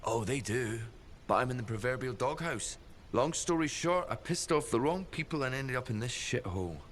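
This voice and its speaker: An actor playing a jaded, sardonic agent fallen from favor in a video game, dry in manner. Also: male voice, mid-range voice, animated, normal speed, a bit bright, slight background noise, close to an everyday voice. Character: jaded sardonic male corporate agent in disgrace. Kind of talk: video game character dialogue.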